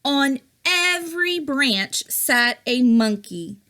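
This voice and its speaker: Woman, expressive children's read-aloud, lively intonation, bright tone, deliberate phrasing, emphatic repetition. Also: high-pitched. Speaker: educator reading a picture book aloud.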